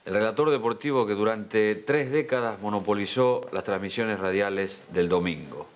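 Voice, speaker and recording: Argentine man, lowish voice, presenting. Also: moderate pace. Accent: Argentine Spanish. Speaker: television presenter. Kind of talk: spoken tribute to a broadcaster. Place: television studio.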